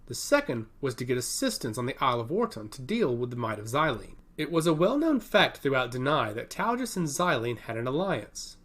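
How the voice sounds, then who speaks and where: A man's voice: medium pitch, big pitch swings, fairly quick, hardly any pauses, fairly bright tone. A man narrating a scripted fantasy story as an audiobook.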